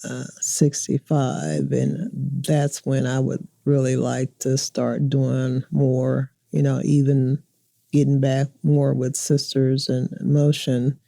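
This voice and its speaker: A woman's voice: rumbling low, level tone, takes it slowly, creaky, mellow, dry recording. A woman in her sixties talking as an interview guest in a casual, reflective chat. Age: 60s.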